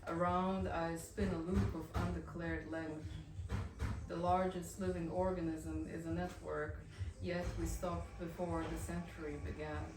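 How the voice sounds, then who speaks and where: Woman poet, lowish voice, reading at a cafe, roomy echo, mic a bit far off with noise behind. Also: little pitch movement. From Bosnia. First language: Bosnian-Croatian-Montenegrin-Serbian. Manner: soft-spoken, halting between poems with fillers. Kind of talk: poetry reading with spoken introductions. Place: café reading venue. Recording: roomy echoey café, voice from far off, background noise.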